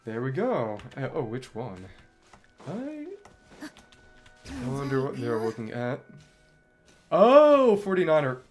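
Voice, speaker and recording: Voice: medium pitch, wildly swooping pitch, takes it slowly, long pauses, music in the background. A game streamer chatting casually over gameplay, thinking aloud in a wry way. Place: indoors at a computer.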